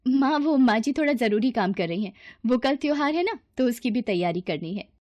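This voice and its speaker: A woman voicing a gentle young daughter-in-law in a moral story, polite and earnest in manner. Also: a little high, expressive, a little fast, no echo, natural voice. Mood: anxious, eager.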